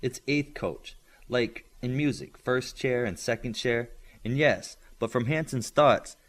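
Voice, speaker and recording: A man voicing a smart, assertive, chatty teenage girl in an audiobook, explaining things briskly and matter-of-factly. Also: mid-range voice, close to an everyday voice. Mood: eager, informative.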